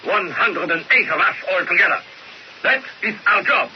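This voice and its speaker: Commanding high-pitched German commando leader. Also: male voice, animated. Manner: military, deliberate briefing delivery. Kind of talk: radio drama acting. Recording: muffled radio recording with noise.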